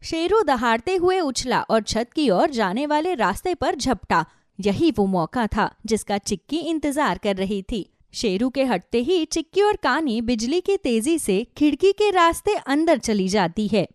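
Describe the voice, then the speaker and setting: A woman's voice: high voice, sing-song, a little slow, dry recording, studio quality. A woman narrating a scripted children's story as a storyteller.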